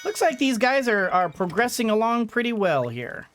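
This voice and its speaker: A woman giving casual video game commentary, halting as she thinks aloud. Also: on the high side, big pitch swings. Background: game music.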